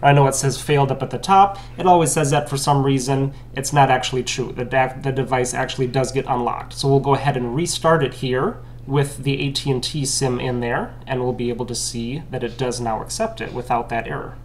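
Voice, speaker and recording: Young man, tech walkthrough host, explanatory, mid-pitched, camera mic, faint room noise. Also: steady tone, fairly quick, hardly any pauses. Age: young adult. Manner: matter-of-fact, explanatory. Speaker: phone unlocking video host.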